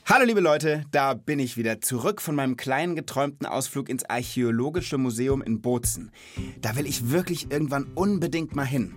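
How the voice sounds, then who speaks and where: A man's voice: mid-range voice, animated, brisk, soft background music. A man in his thirties hosting a children's podcast, explaining things in an informal, chatty, conversational way.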